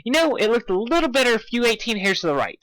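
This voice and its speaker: A man's voice: very high-pitched, sing-song, quick pace, a bit bright, dry recording, pitched above the performer's own voice, full character voice, rough recording. A man voicing a bubbly, hyperactive young female pony who rambles in a fussy, giddy way in a cartoon fan story.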